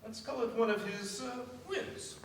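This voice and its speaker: A man's voice: very high-pitched, measured pace. A man playing a formal, dutiful family lawyer in a staged mystery radio play. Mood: proper, discreet. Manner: formal, proper. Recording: echoey stage from far off, faint noise.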